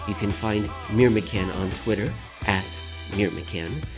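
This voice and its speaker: A man narrating a scripted commentary with deliberate, somewhat theatrical phrasing. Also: fairly low pitch, over music. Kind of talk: scripted reading of economic commentary.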